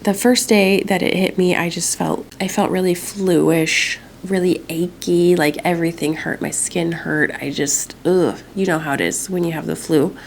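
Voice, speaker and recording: A young woman talks casually to her camera at home, her voice stuffy and congested. She tells a rambling story, with a gravelly fry at the ends of her phrases. Faint background hiss. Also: fairly low pitch, fairly even intonation, normal speed, hardly any pauses. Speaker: vlogger.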